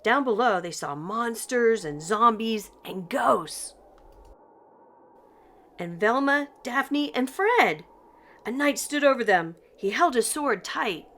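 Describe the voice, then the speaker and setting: An older woman reading a children's picture book aloud in an expressive, deliberate storytelling manner. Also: a little high. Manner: slow, deliberate, animated delivery for children. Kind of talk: expressive scripted read-aloud narration.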